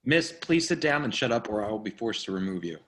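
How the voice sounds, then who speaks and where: A condescending, bullying policeman, acted by a man in a script reading. His voice is on the low side, patronising and faintly menacing as he gives orders. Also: lively intonation, fast, a bit bright. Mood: curt threat. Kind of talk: screenplay table read.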